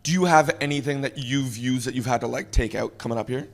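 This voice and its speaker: Chatty Canadian podcast host. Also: male voice, medium pitch, quick pace, gravelly. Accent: Canadian English. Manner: chatty, asks questions, laughs a lot. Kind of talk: casual interview chat.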